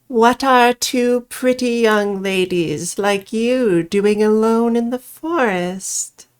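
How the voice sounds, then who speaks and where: Woman putting on a slow, coy, sinister witch. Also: fairly high pitch, full character voice. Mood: sweetly menacing. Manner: slow, drawn-out, mock-innocent, coy. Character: mysterious witch stranger feigning sweet innocence, sinister and coy. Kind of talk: visual novel character read-aloud.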